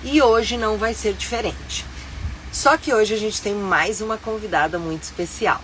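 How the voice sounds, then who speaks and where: Brazilian woman, middle-aged, a bit nasal from a cold, chatty and upbeat live host, medium pitch, faint hum of a vehicle behind her. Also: normal speed, fairly bright tone, rough recording. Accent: Brazilian Portuguese. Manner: chatty and upbeat, with frequent fillers. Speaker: live-stream host and tour guide. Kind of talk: casual live-stream chat. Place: inside her motorhome.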